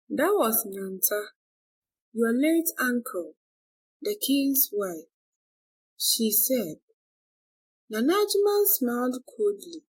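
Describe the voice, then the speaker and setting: Slow, lively woman storyteller. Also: a little high, breathy edge, poor audio. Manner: deliberate, expressive storytelling. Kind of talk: scripted dramatic folktale narration.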